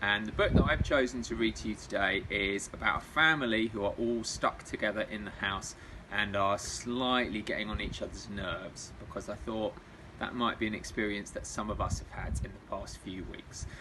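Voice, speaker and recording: A man reading a children's storybook aloud as a storytime reader, in an expressive way. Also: fairly low pitch, normal speed, slight background noise, low-quality recording.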